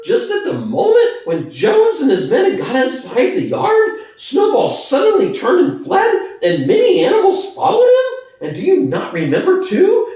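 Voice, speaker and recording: A man voicing a sly, excitable propagandist pig in a storybook reading, with theatrical, insistent oratory. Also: very high-pitched, pitched above the performer's own voice, exaggerated voice. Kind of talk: dramatic audiobook reading. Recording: echoey room, distant from mic.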